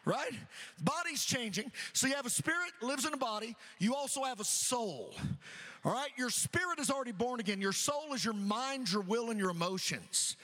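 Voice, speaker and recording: A middle-aged man preaching an energetic sermon, repeating phrases rhythmically and calling on the congregation to answer. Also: very high-pitched, moderate pace, in a large hall. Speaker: pastor. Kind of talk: energetic sermon with call-and-response.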